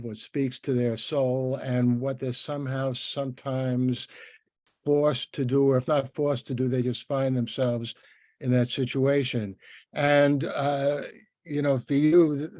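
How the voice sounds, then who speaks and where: A man's voice: medium pitch, mellow, dry recording, studio quality. An older man hosting a conversational interview, speaking in an effusive, rambling way. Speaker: interviewer and host, professor.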